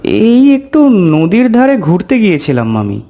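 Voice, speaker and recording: A man's voice: high-pitched, wildly swooping pitch, unhurried, dark tone, heavily acted. A man playing a timid, polite village boy in a cartoon story, hesitant and meek. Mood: innocent, curious. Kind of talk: dramatized cartoon dialogue.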